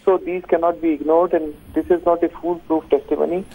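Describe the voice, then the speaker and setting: A man with a Pakistani accent speaking as a lawyer in a news interview, formal, legalistic and halting. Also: on the high side, little pitch movement. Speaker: defence lawyer, interview guest. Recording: thin, narrow phone-like line.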